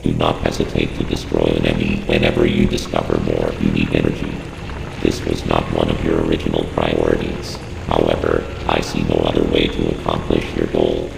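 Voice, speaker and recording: Extremely deep, gravelly robotic AI. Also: male voice, completely flat delivery, heavily acted. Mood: cold, impassive. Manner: measured, impassive, formal, authoritative. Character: cold, clinical male computer AI advisor briefing a soldier. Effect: synthetic robotic processing. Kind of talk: scripted game briefing. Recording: echoey long reverb, clear background noise.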